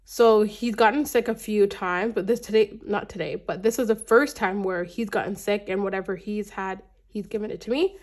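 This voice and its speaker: A woman in her thirties talks to her camera in an easy, rambling vlog style, starting sentences over and filling gaps with little ums. Her voice is slightly raspy and congested from a lingering cold. Her pitch sits at an ordinary middle height. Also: moderate pace, few pauses. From Canada. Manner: chatty, rambling, frequent restarts and ums. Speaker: budgeting vlogger. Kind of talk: casual chat to camera.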